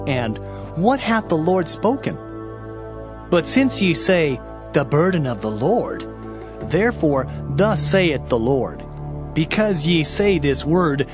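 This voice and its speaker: A man with a fairly high, very animated voice reads scripture as a dramatized audio Bible. His delivery is solemn, declamatory and emphatic, with music clearly playing behind him. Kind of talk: dramatized King James scripture reading. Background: background music.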